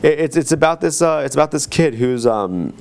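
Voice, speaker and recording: A man co-hosting a podcast, chatting casually about TV in a halting, rambling way. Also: a little high, animated, vocal fry, darkish tone. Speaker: podcast co-host. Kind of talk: casual chat about TV shows.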